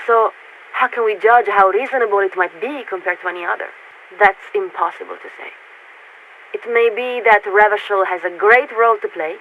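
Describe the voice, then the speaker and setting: A woman playing a polite, formal military radio signaler in a video game, speaking in a measured, patient, officious way through a radio filter. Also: mid-range voice. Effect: radio transmission filter. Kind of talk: scripted game voice acting. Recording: tinny narrow-band radio with static.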